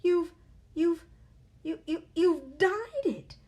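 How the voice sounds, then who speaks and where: A woman voicing a loud, scornful mother who shrieks at her husband in a storybook reading. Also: very high-pitched, animated, slow pace, voice pushed up, full character voice. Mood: shrieking. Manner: shrieking, stammering with outrage. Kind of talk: read-aloud storybook acting.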